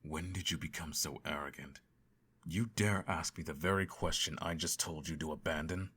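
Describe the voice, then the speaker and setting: A man playing a stern, cold evil emperor in an audio roleplay, speaking to a child in a measured, imperious, formal way. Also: a bit bright, slightly rough, full character voice. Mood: irritated, condescending. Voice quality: very deep voice. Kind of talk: roleplay audio, bedtime storytelling and lecture.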